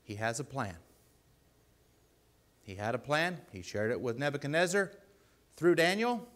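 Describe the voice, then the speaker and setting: A man with a deep voice preaching a sermon in a church, earnest and pleading, with loud emphatic bursts. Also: animated, unhurried. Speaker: lay preacher.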